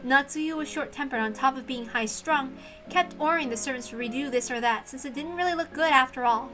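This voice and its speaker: Female story reader, notably high and bright, expressive scripted narration of a visual novel. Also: a little fast, soft background music, low-quality recording.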